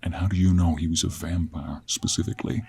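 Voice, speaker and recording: Guy playing a gruff stubborn cowboy monster hunter, real deep raspy voice, western us drawl, fantasy roleplay audio. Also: little pitch movement, brisk, a little mellow, exaggerated voice. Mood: stern, exasperated. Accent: American Western cowboy. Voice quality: husky, low. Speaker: audio role play performer. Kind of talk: dramatic audio role play.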